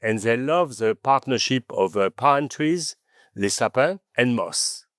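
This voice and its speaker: A man with a French accent, French his first language, talking as a podcast guest in an animated storytelling manner, often adding "okay?" and "you know". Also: medium pitch, measured pace, dry recording, clean studio sound. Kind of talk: conversational interview.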